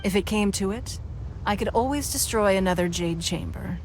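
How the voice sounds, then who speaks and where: A woman's voice: mid-range voice, moderate pace, darkish tone, slight background noise, natural voice, rough recording. A woman playing a poised, commanding noble city leader in a video game, speaking with composed, elegant authority. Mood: assured. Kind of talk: video game cutscene acting.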